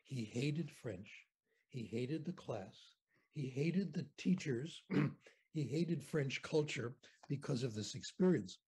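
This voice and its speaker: An older American man, a guest on a video call interview, telling anecdotes in an animated, conversational way from a home room. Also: mid-range voice, slow pace, husky, rough recording. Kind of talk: storytelling lecture on a video call. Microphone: video call webcam.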